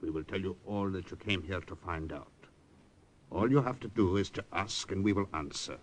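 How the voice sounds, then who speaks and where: A solemn resistance fighter, very deep-voiced. He talks gravely and measured, as in an old radio play.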